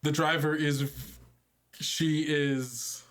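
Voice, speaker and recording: Slow-paced man running a tabletop game. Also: fairly high pitch, little pitch movement, fairly bright tone. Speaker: game master. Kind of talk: casual improvised tabletop game narration.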